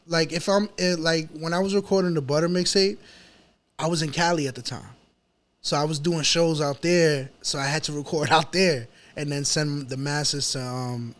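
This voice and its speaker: A man with a New York accent speaking informally and with slang as a guest in a casual interview. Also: on the high side. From New York City. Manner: informal, slangy, repeats himself. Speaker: rapper, podcast guest.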